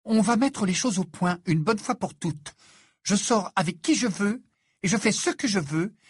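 A fiery teenage sister in an audiobook, played by a man. The voice is pushed very high and a little rough, swinging sharply as it shouts. It is mocking, defiant and emphatic. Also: sing-song, moderate pace, no echo, studio quality. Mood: defiant anger.

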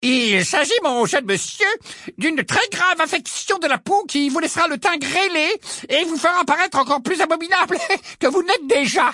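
A man's voice: extremely high pitch, lively intonation, normal speed, bright, forceful tone, no echo, studio quality, voice pushed up, exaggerated voice. A man voicing a pompous, fussy old medieval wizard healer from a painting, stammering and drawing words out grandly. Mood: haughty.

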